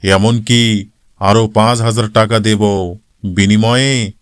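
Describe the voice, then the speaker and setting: A man's voice: lowish voice, level tone, takes it slowly, clean tone, dry recording. A man voicing a greedy, cunning village moneylender who bullies and demands, in a cartoon moral story. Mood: eager bargaining.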